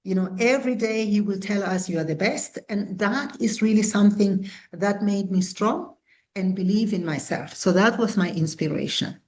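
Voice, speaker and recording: A woman in her sixties from South Africa, a founder answering interview questions in an earnest, measured way. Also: mid-range voice, darkish tone, low-quality recording. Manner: earnest, measured, with small restarts. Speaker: guest, founder and CEO.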